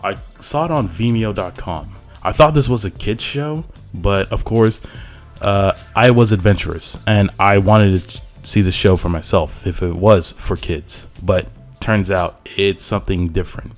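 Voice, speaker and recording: Low-voiced man reviewing over music. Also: unhurried. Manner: informal, conversational. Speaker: video essayist, TV show reviewer. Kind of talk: loosely scripted review commentary. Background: background music.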